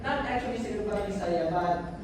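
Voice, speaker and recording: A young woman from Mindanao with a Filipino accent, giving a halting self-introduction in class, often repeating and restarting her words. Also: medium pitch. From Mindanao, Philippines. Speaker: student. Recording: echoey classroom mic from far off, loud background noise.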